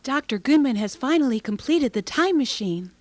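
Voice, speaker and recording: A woman's voice: high-pitched, lively intonation, normal speed. A woman playing an eager, cheerful lab assistant in an old-time radio drama. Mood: excited. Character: eager, cheerful young lady lab assistant, newly engaged. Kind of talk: Golden Age radio drama acting.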